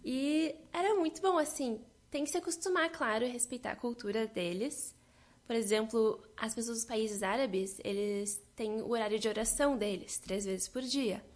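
Young southern Brazilian woman, an animated interview guest with a higher voice. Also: moderate pace. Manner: lively, laughs often, quotes others' reactions. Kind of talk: interview answers.

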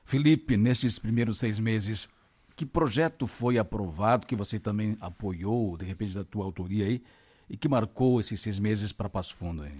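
A man's voice: on the low side, moderate pace, a little mellow, husky. A man hosting a radio show, asking his guest friendly, leading questions. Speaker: radio host.

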